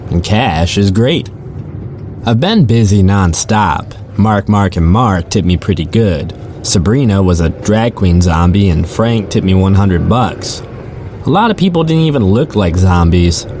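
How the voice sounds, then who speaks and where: A man's voice: low voice, expressive, slow pace, a little mellow, slight background noise, exaggerated voice. A man playing a chatty, sardonic rideshare driver, telling his story in the first person for an audio drama. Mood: wry, griping. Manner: casual, sardonic, conversational. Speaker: narrator and lead character.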